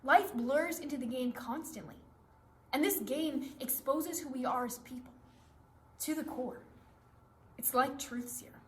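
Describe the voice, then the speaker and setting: A woman's voice: high voice, measured pace, long pauses. A woman performing a stern, reproachful game show contestant in a readers theatre monologue, speaking in emphatic short declarations. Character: stern, reproachful survival game contestant addressing fellow players. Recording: faint background noise.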